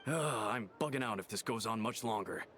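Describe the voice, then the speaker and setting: A man's voice: on the low side, brisk, a bit bright, a little hiss or hum, close to an everyday voice. A man playing a nervous, grumbling merchant in a video game.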